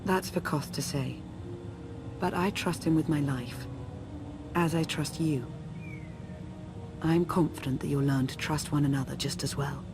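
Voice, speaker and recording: Loyal sith adviser, earnest and guarded, measured, game music behind. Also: female voice, low-pitched, fairly even intonation. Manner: measured, serious. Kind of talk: video game cutscene acting.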